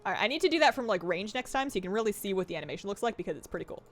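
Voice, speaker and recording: Excitable young woman gaming on stream, voice swings all over, reads chat out. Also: medium pitch, very fast, soft background music. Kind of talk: live gameplay stream chat.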